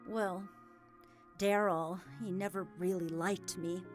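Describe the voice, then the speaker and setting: A woman playing a grieving, hesitant farm widow in an audio drama, speaking in a halting, emotional way. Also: on the low side, fairly even intonation, soft background music. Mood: hesitant, troubled. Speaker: voice actor.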